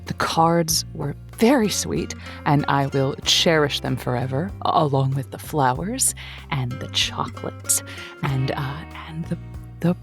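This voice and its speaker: An actress playing a wry late-night radio advice host with a slow, sultry on-air delivery. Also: female voice, deep voice, creaky, music in the background.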